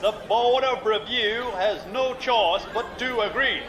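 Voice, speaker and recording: An actor playing a stern, solemn presiding judge of a military tribunal in a science fiction audio drama. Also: male voice, very high-pitched, heavy background noise. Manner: solemn, formal, deliberate.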